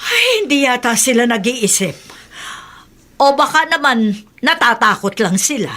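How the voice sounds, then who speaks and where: Older household woman, radio drama: lively intonation, somewhat high voice, flustered and tolerant. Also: unhurried, heavily acted. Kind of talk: Filipino radio drama acting.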